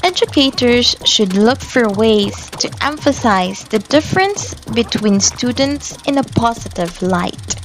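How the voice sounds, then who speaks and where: Slow, higher-pitched woman narrating a lesson. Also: lively intonation, faint music behind, rough recording. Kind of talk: scripted narration.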